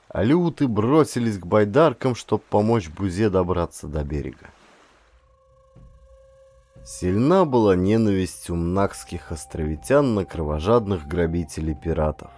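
A man narrating an adventure audiobook in a measured, theatrical, scripted style with music behind him. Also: deep voice, warm tone.

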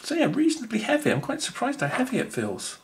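A man's voice: extremely high pitch, wildly swooping pitch, fast, a little hiss or hum. A man, thinking aloud with many fillers as he unboxes a gadget at a table indoors. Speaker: unboxing video host. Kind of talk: casual unboxing commentary.

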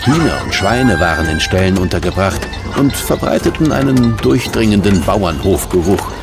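The storyteller of a children's radio play about a medieval adventure. His voice is low and creaky, with loud music underneath. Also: animated, normal speed. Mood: descriptive. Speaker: narrator. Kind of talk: scripted children's audio drama narration.

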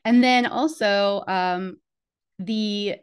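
A middle-aged woman speaks casually into a desk microphone in a small home office. Her delivery is chatty and unscripted, full of ums and uhs. Her pitch jumps up brightly whenever she mentions something new. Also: drawn-out words, clear, resonant tone. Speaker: community strategist walking through her website. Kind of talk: casual screen-share walkthrough.

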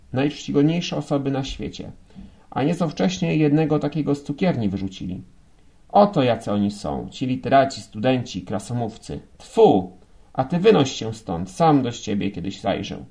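A man's voice: medium pitch, vocal fry, a little mellow, voice pushed up. A man playing a blustering, contemptuous, hot-tempered police lieutenant, scolding with sarcasm.

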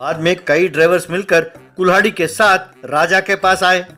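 A man narrating a scripted children's animated story. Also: high voice, moderate pace. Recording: music under the voice.

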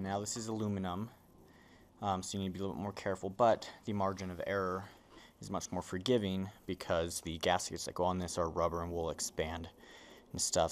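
Young guy, very low voice, easygoing DIY walkthrough while working on the floor of his garage. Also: slight background noise. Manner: relaxed, many ums and uhs while working. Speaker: DIY mechanic host. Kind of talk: casual how-to explanation. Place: home garage, concrete floor. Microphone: camera on the floor nearby, hands busy.

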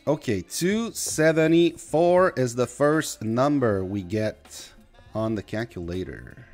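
A man's voice: medium pitch, a little mellow. Quebec french accent guy rambling over his game stream, talks to chat, faint music behind. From Quebec, Canada. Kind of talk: casual gameplay commentary.

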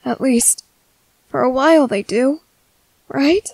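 A woman playing a gentle, worried young merboy in an ASMR roleplay, speaking softly and hesitantly to comfort someone. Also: high voice, takes it slowly, creaky, dry recording, heavily acted. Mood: tender, soothing. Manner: soft, hesitant, soothing.

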